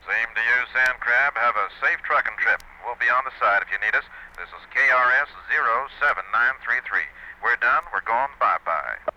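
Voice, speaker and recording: A man playing a helpful CB base-station operator, courteous and efficient, speaking over a radio. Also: mid-range voice. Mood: friendly, efficient. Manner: brisk, courteous radio procedure. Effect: CB radio filter. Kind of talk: acted CB radio dialogue. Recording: noisy, lo-fi CB radio transmission.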